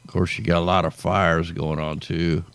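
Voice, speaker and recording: A man's voice: low voice, level tone, moderate pace, a bit bright, clean tone, no room sound. A man hosting a casual weather commentary, tired and losing his voice, talking loosely and tacking "man" onto his sentences. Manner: loose, conversational, drawls "man" at line ends. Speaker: show host. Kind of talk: casual weather commentary over satellite maps.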